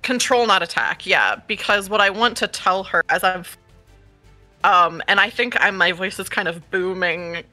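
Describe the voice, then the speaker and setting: A woman's voice: medium pitch, normal speed, sharp tone, soft background music. A woman playing a tabletop game, hesitant with many fillers and often giggling. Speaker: player.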